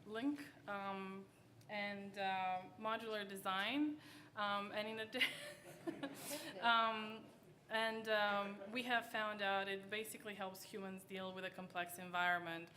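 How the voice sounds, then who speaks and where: A woman presenting her team's startup pitch at an event, soft-spoken and hesitant, with many pauses and fillers. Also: little pitch movement, a crowd in the background. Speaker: startup team member pitching. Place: event hall.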